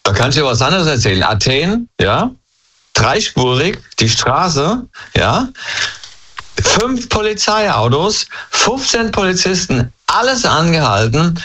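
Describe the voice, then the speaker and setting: A hoarse man calling in to a radio show, talking in a loose, rambling, chuckling way. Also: medium pitch, fairly bright tone. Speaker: caller. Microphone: phone line. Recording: dull lo-fi phone line.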